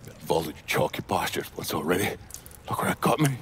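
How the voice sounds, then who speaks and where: A man's voice: rumbling low, sing-song, fast, fairly bright tone, slight background noise, heavily acted. A man playing a bitter, drunken middle-aged Irish gangster in a video game, cursing scornfully with a volatile temper. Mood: bitter anger. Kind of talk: video game cutscene acting.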